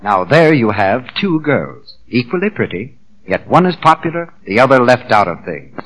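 Casting: vintage 1940s radio pitchman, male, husky and lively, scripted delivery. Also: normal speed, soft background music, low-quality recording. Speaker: radio announcer.

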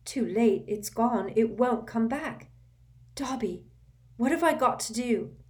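Woman reader doing a frantic, tired teenage boy, breathless questions, a bit higher than her narration. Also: animated, slow, distant mic. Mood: anxious, frantic.

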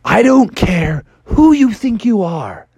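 A man voicing a fussy, scolding woman librarian in a bedtime story, high and prim. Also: sing-song, takes it slowly, darkish tone, slightly rough, dry recording, full character voice.

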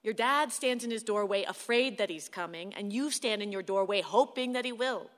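An actress playing a weary, patient wife in a radio play, wry, coaxing and firm. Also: female voice, medium pitch, moderate pace, a bit bright, natural voice. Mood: exasperated. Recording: long hall-like reverb.

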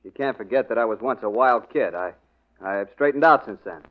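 An actor playing a suspicious, surly young American tough with a clipped, guarded manner, in a 1940s radio crime drama. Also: male voice, fairly low pitch, expressive, fairly quick, close to an everyday voice. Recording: thin, narrow old radio recording.

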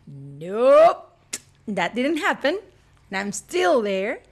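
A woman's voice: on the high side, wildly swooping pitch, extremely slow, gravelly. A young woman from Argentina with an Argentine accent, chatting playfully as a podcast guest and laughing often. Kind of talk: casual chat.

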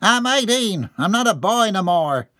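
A man's voice: sits high, sing-song, measured pace, sharp tone, dry recording, studio quality, pitched above the performer's own voice, heavily acted. A man voicing a whiny eighteen-year-old country son in an audiobook. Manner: whiny, complaining.

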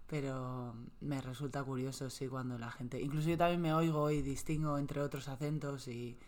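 A woman's voice: a bass voice, fairly even intonation, normal speed, darkish tone. A young woman from Spain talking casually as a guest, chatty, with many fillers and frequent laughs. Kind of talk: casual conversational interview.

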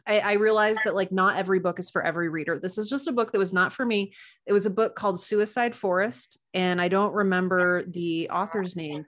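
A woman chatting casually and informally as an interview guest, with emphatic little asides. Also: mid-range voice. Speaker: podcast guest, author.

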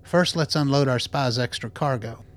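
An actor playing a thoughtful, cautious aging scientist in a radio play, talking in a measured, gently wry way. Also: male voice, mid-range voice, lightly acted. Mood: careful, practical. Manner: measured, reflective.

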